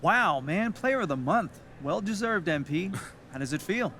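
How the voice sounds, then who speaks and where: A friendly male sports interviewer in a video game, his voice slightly high. He asks informal, congratulatory questions with faint ambience behind. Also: expressive, moderate pace, bright, forceful tone, slight background noise. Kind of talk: post-award interview.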